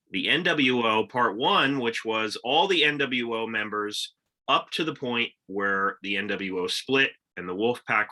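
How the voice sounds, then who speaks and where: A man's voice: lowish voice, pushed and bright. A man co-hosting a casual wrestling podcast, upbeat and teasing his co-host.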